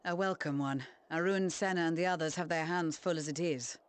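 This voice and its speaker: A man playing a composed game leader who speaks calmly and reassuringly, his voice smooth and resonant and a bit high. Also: fairly quick, natural voice. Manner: calm, reassuring.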